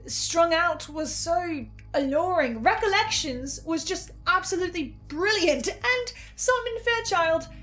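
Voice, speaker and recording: A woman playing an anxious, sardonic researcher and broadcaster, speaking in a halting, expressive, naturalistic audio-drama manner. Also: extremely high pitch, full character voice. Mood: animated delight. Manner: halting, expressive, self-interrupting. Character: Edwin, anxious, sardonic researcher and broadcaster bound to fearsome powers. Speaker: podcast-style host reviewing a horror series.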